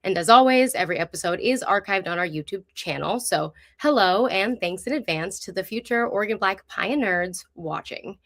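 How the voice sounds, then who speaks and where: A woman's voice: medium pitch, animated, moderate pace, few pauses, fairly bright tone. A woman speaking as host of a live online show, presenting and interviewing in an upbeat, enthusiastic way.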